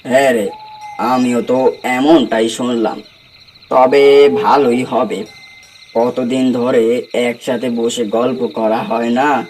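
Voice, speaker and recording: A man's voice: medium pitch, steady tone, takes it slowly, a little mellow, soft background music, natural voice. A man playing a villager in a cartoon horror story, plain-spoken and fondly grumbling as he misses old company. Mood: fond, wistful. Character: low-voiced village man missing an old friend.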